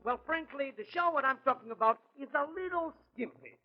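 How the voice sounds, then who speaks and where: A man's voice: very high-pitched, animated, fairly quick. A man playing a wheedling, haggling small cinema owner in 1940s radio comedy. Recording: muffled vintage radio recording.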